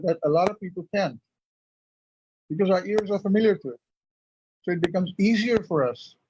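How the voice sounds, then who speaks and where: A man's voice: fairly high pitch, a little mellow, slightly rough. Man from India, native Urdu speaker. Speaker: guest. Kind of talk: conversational interview. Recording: lo-fi, dull sound.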